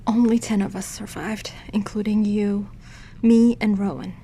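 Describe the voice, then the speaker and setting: An actress playing a gentle, shaken survivor in an audio drama, speaking softly, consoling and somber. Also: female voice, medium pitch, little pitch movement, a little slow, slight background noise, close to an everyday voice. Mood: grieving. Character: gentle, shaken woman survivor tending an injured friend.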